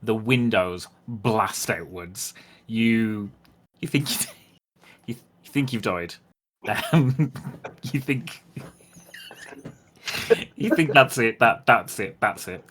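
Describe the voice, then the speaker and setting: A man acting as game master, improvising narration for a tabletop roleplay game in a halting, amused way. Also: lowish voice, a bit bright, a little hiss or hum.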